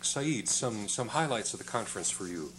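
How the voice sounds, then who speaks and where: An older man hosting a studio interview who speaks slowly and encouragingly and sums up his guests' points. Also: fairly low pitch, expressive, fairly bright tone, slight background noise, poor audio. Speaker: TV interviewer, host. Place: TV studio set, indoors.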